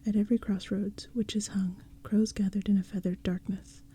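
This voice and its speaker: Woman, whispered storybook narration, very breathy, dark mellow tone. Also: mid-range voice, level tone, moderate pace. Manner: soft, hushed, ASMR-like.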